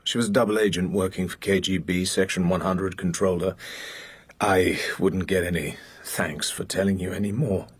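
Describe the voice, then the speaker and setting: An actor playing a terse, weary British male secret agent in a radio drama, clipped and deliberate. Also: deep voice, steady tone, slightly rough, no room sound. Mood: guarded.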